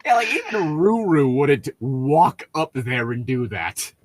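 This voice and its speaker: A man's voice: medium pitch, sing-song, takes it slowly, no room sound. A man co-hosting a podcast, switching between casual chat and reading stories aloud.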